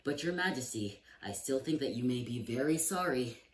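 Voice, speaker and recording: A man's voice: medium pitch, animated, moderate pace, pitched above the performer's own voice, natural voice. A man doing an anxious young page boy. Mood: alarmed. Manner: pleading, deferential. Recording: roomy echo, distant mic, faint hiss.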